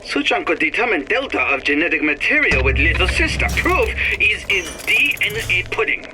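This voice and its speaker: A terse scheming scientist. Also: male voice, high voice, very animated, normal speed, over music. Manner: speaks of himself in third person, terse. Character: scheming male scientist, clipped broken speech.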